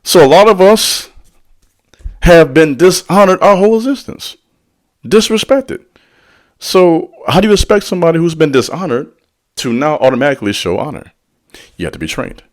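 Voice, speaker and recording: A man's voice: on the high side, very animated, normal speed, long pauses. Man, spiritual teacher, preacherly, slangy, direct address, call-and-response asides. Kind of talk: motivational spiritual talk.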